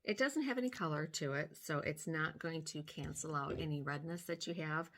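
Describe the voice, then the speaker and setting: An older woman with a slightly hoarse voice, gently talking through a makeup tutorial step by step, filmed close on a phone at home. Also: on the low side, slight background noise. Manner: gentle, step-by-step explaining while applying makeup. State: hoarse from sinus trouble. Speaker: beauty vlogger. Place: small room at home, indoors. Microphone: phone camera close up.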